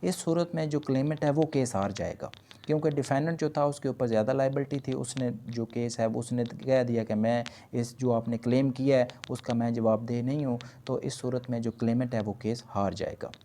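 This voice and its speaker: A man's voice: mid-range voice, fairly even intonation, brisk, mellow, slight background noise. A man with a Pakistani accent lecturing didactically, reading out points and then explaining them with repetition, switching between two languages. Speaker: law lecturer.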